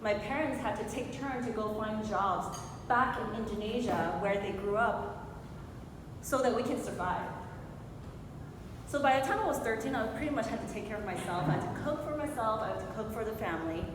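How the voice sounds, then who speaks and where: A woman in her forties from Hong Kong giving a motivational speech, telling a personal story and putting questions to her audience. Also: brisk. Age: 40s. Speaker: motivational speaker. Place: large echoing room before an audience. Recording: very echoey hall with clear noise and rumble.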